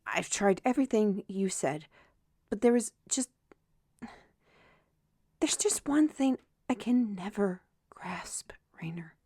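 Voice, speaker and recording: A troubled young writer in an audio drama, hard on herself, slow halting weary delivery, really dry sound. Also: female voice, mid-range voice, lively intonation, mellow, slightly rough, no echo, exaggerated voice. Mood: anguished. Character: troubled, self-reproaching young woman writer. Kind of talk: intimate audio drama acting.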